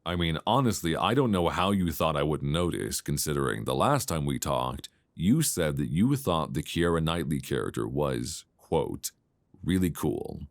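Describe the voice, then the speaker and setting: A man playing an affectionate, gently teasing long-distance boyfriend in an intimate, unhurried ASMR roleplay voice message, speaking conversationally close to a binaural microphone. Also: rumbling low, sing-song, dry recording, heavily acted. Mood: playful mock reproach. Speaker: audio roleplay performer.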